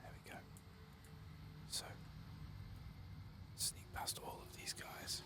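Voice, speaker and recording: A soft-spoken man murmuring ASMR-style commentary to himself while playing a game. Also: slow pace. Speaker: gaming streamer. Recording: heavy background noise.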